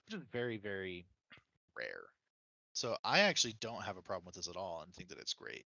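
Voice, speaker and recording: A man's voice: low-pitched, huge pitch swings, brisk, vocal fry, pushed and bright, no room sound, dull audio. A man on a casual gaming podcast, explaining his opinions conversationally and working through examples. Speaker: podcast co-host or guest.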